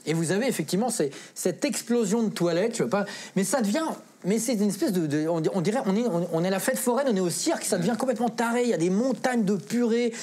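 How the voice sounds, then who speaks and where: A woman's voice: medium pitch, animated, fast, few pauses, mellow, breathy edge. A woman presenting a film column in casual panel talk, with an emphatic, repetitive, slangy way of talking. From of Italian origin. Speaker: film columnist on a geek culture show.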